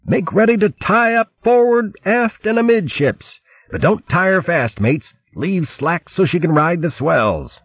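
A man voicing a male shrew chieftain who yells orders in a high-pitched, excitable voice and says "mates" a lot. The voice is husky and comes through a dull lo-fi recording. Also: measured pace, hardly any pauses, no echo, full character voice. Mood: commanding.

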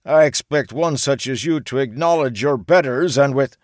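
A man's voice: mid-range voice, normal speed, dry recording, clean studio sound, pitched above the performer's own voice, natural voice. A man voicing a pompous, easily outraged male merchant in an audiobook, with theatrical, grandiose speech. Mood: indignant. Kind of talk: audiobook character acting.

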